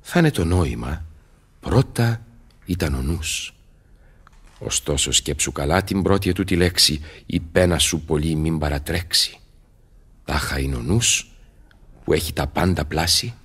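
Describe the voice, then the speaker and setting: A very deep, husky voice carries an old male scholar in a radio play. He is solemn and brooding, thinking aloud in theatrical, declaimed verse, every phrase weighted with learning. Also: fairly bright tone, full character voice. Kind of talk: theatrical Greek radio drama verse acting.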